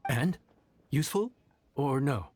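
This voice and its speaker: A man's voice: mid-range voice, lively intonation, measured pace, a little mellow, a little hiss or hum, close to an everyday voice. A man voicing a confident, gracious young inn chef in a video game, courteous and self-assured. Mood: gracious, upbeat. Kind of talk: animated video game dialogue. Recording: music behind the voice.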